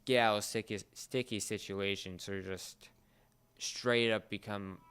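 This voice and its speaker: A man chatting casually as a fan, often halting and searching for his words. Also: on the low side, fairly even intonation, a bit bright, clean tone.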